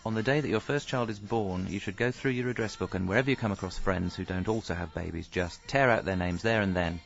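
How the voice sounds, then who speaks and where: A rueful, self-pitying middle-aged husband narrates a radio comedy. His deadpan voice is low and creaky, with faint music in a slightly dull recording. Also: fast.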